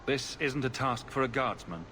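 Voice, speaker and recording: A man's voice: mid-range voice, lively intonation, moderate pace, crowd noise, lightly acted. An actor playing a confident, plain-spoken male adventurer hero in a video game. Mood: confident, probing. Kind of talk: scripted video game dialogue.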